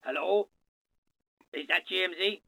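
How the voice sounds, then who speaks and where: A man acts a neighbourly Irish farmer in a radio drama. His voice is strikingly sharp with plenty of creak, and fairly high. He speaks haltingly and with concern. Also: dry recording, exaggerated voice. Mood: concerned, hesitant. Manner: halting, solicitous. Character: neighbourly, hesitant farmer making a phone call. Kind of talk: naturalistic radio drama acting.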